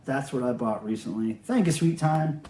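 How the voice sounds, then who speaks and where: A man's voice: mid-range voice, normal speed, dark tone, a little hiss or hum. A man talking casually and offhandedly as he shows off his record collection, rambling in a conversational way. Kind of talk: casual show-and-tell vlog about records.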